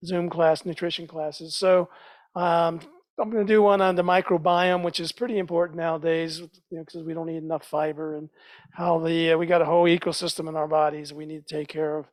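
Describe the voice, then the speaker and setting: A man's voice: fairly high pitch, little pitch movement, fairly quick, no echo. An older man giving a casual announcement about his nutrition classes in a home kitchen, speaking loosely with fillers. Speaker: nutrition educator.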